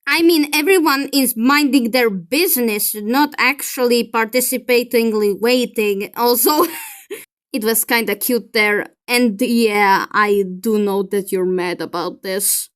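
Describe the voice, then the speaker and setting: A woman's voice: fairly high pitch, a little slow. A woman giving opinionated commentary in an exasperated, emphatic manner. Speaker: video creator. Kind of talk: commentary reacting to a film critique.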